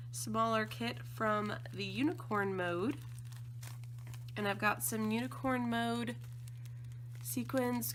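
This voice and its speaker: A woman with a slightly croaky voice from allergies, chatting casually and gushing with enthusiasm as she unboxes craft supplies. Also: fairly high pitch, fairly bright tone, a little hiss or hum. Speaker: scrapbooking design team member.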